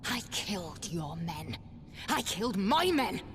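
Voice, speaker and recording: A woman's voice: medium pitch, big pitch swings, unhurried, slight background noise. An actress playing a bitter, ruthless defeated female commander in a dramatic video game cutscene. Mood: furious, despairing. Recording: long hall reverb, loud music behind.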